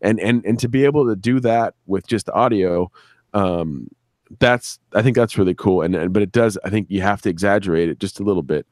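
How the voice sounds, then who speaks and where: Low-voiced man in a dry, casual chat. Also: a little fast, darkish tone, no echo. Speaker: sound designer and editor for an audio drama. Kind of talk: casual conversation on a live stream.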